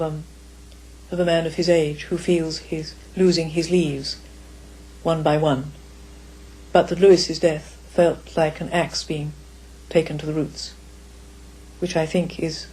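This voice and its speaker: A woman's voice: low voice, warm tone, husky, low-quality recording. An older woman reminiscing in an interview, careful, articulate and formal. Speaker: author's daughter, interviewee. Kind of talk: reflective interview.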